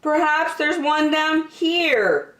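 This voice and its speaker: A small, earnest bear cub from a picture book, acted out by a woman. The voice is pitched high and swoops plaintively, stretching words like a child longing for comfort. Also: expressive, slow pace, a bit bright, reverberant, heavily acted. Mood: sad, plaintive.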